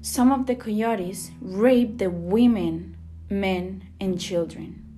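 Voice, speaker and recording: A woman's voice: mid-range voice, slow pace, dark tone. A woman from Rica, earnestly reading a scripted spoken piece. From Costa Rica. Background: guitar music.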